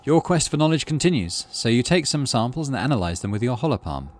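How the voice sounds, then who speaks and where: A man's voice: medium pitch, very animated, fast talker. A man, middle-aged, doing playthrough commentary and reading game text aloud expressively. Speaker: gaming channel host.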